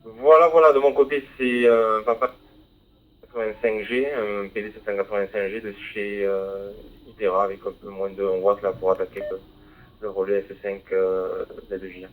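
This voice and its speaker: A man chats on an amateur radio contact, halting with fillers and spelling out call signs letter by letter. His voice comes through a thin, phone-like digital radio decoder. A faint hiss sits underneath. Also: on the low side, flat delivery. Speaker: ham radio operator. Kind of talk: radio contact over the air. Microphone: handheld radio microphone, decoded by a receiver.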